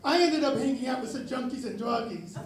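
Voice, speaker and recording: A young man doing a self-mocking stand-up set with a very raspy, high voice, on a club stage. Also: fairly quick. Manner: self-mocking deadpan delivery. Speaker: stand-up comedian. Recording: echoey club with crowd. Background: audience laughter.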